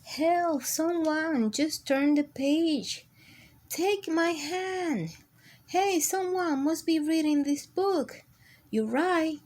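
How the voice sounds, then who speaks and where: A woman voicing an excitable, worried crayon character from a picture book, urgent and dramatic. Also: high voice, drawn-out words, pitched above the performer's own voice. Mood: pleading, urgent.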